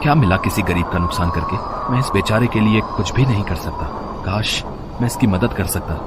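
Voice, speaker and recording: A man voicing a kind, caring young college student in an animated moral story, earnest and gentle. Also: on the low side, fairly quick, heavy background noise, close to an everyday voice. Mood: concerned.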